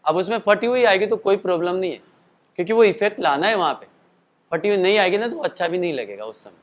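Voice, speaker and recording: A man with a slightly high voice leads a casual voice lesson, explaining in a chatty, rambling way. His throat sounds tired from a show the night before. He breaks off now and then to demonstrate calls and sung notes. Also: animated, fast talker. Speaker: singing and voice teacher.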